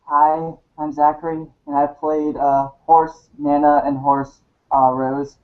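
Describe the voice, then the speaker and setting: A man's voice: a little high, little pitch movement, takes it slowly, muffled recording. A man, a voice actor, introducing himself casually.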